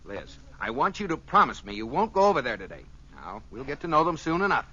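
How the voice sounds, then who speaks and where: An actor playing an exasperated, scolding but fond young husband in old-time radio comedy. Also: male voice, medium pitch, very animated, fast, bright, forceful tone, husky, heavily acted. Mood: surprised, earnest. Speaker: co-star in a radio sitcom. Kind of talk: 1940s radio comedy acting. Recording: dull old radio recording.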